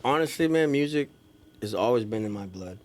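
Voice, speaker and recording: Casting: male podcast guest from California, medium pitch, informal and slangy storyteller. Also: expressive, brisk. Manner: informal, slangy, peppered with "you know" and "like". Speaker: podcast guest, DJ and studio engineer. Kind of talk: casual podcast chat. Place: small room with couches, indoors. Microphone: podcast microphone.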